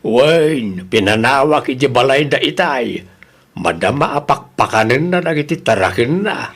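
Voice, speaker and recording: A man's voice: medium pitch, expressive, measured pace, a bit bright, husky, full character voice. A man with an Ilocano accent playing an easygoing, helpful father in a family audio drama.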